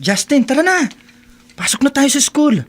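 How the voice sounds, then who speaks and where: A man's voice: very high-pitched, big pitch swings, moderate pace, soft background music. An actor playing a responsible teenage schoolboy who nags his friend, in a Filipino radio drama with a Filipino accent. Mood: nagging.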